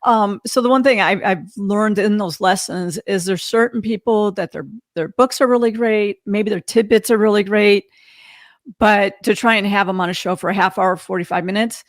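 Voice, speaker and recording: A woman's voice: medium pitch, steady tone, moderate pace, no echo, studio quality. A woman podcast host talking casually and reflectively, in a candid, emphatic way. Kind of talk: casual reflective interview talk.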